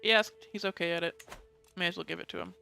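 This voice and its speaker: Man with heavy vocal fry, casual gaming commentary. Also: sits high, steady tone, fast talker, sharp tone, soft background music. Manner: mild casual swearing, reads game text aloud. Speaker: let's play host. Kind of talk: casual gameplay commentary, thinking aloud.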